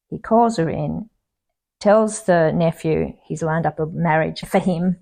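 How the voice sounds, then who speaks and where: Creaky-voiced woman, chatty author. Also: deep voice. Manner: chatty, laughs easily, tumbling restarts; reads her own prose aloud with lively expression. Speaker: author and podcast guest. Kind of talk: casual interview chat. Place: home near trees with birds outside.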